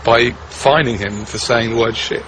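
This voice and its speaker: A man's voice: medium pitch, fairly even intonation, normal speed. A man arguing spontaneously outdoors, challenging and insistent. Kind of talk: spontaneous argument. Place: outdoors in a public business district. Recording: very noisy outdoor street recording.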